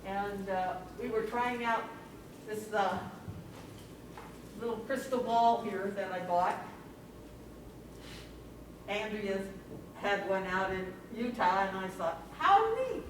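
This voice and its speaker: Slow-talking woman in a very echoey room. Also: mid-range voice, animated. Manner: halting, informal, chuckling between remarks. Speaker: camera club member presenting photos. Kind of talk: casual slide commentary. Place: library meeting room, recorded from afar. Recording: very echoey room, distant mic, noisy.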